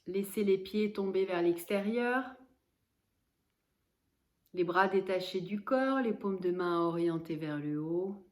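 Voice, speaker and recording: Female yoga nidra guide, mid-pitched, very slow delivery, calm and measured, leaving space between instructions. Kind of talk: guided relaxation meditation, spoken instruction.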